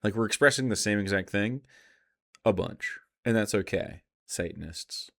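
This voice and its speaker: A man's voice: lowish voice, normal speed, vocal fry. Blunt, argumentative man doing casual commentary. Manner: blunt, swearing, sarcastic. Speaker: streamer reacting to a video.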